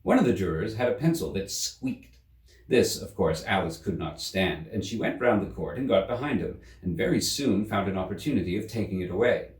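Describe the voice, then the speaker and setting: A man reading a children's story aloud as an expressive storyteller. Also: deep voice, fairly quick. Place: indoor room. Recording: roomy, slightly distant microphone.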